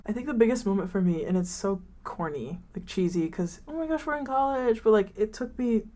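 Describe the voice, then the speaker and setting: A woman's voice: medium pitch, a little fast. A woman in her twenties co-hosting a podcast, chatting casually and rambling through stories with lots of "like" and "um". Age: 20s. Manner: rambling, many likes and ums, animated retellings. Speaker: podcast co-host. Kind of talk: casual chat.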